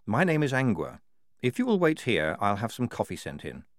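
A man's voice: on the low side, wildly swooping pitch, moderate pace, no room sound, clean studio sound. A man voicing a young woman sergeant in a storybook, courteous and self-assured, with a polite, composed manner.

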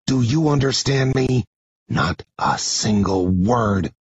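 A man voicing a tough, protective teenage boy in an audiobook, with a forceful, commanding delivery. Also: fairly low pitch, animated, slow, slightly rough, no echo, highs cut off, full character voice. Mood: low, menacing. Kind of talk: dramatic first-person audiobook dialogue.

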